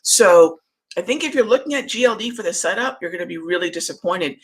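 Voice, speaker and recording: A middle-aged woman hosting a live market show, chatting casually and reading out viewer questions in a voice that is going hoarse. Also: fairly low pitch, expressive, fast. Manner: conversational, reads viewer comments aloud, many fillers. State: losing her voice, throat clearing. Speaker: trading show host.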